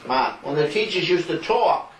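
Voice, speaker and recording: A man's voice: fairly high pitch, animated, normal speed. A middle-aged man, patiently questioning his mother about family history. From Rhode Island. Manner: patient, questioning, a bit rambling. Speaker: son interviewing his mother. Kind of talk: casual family interview. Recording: echoey home video, distant mic, hiss.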